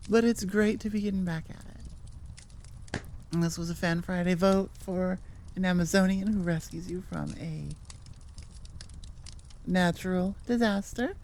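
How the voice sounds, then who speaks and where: A woman's voice: fairly low pitch, measured pace, pauses often, a little mellow, slight background noise. A woman audio roleplay creator chatting casually to her listeners in a rambling, self-deprecating way. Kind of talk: casual ASMR outro chat.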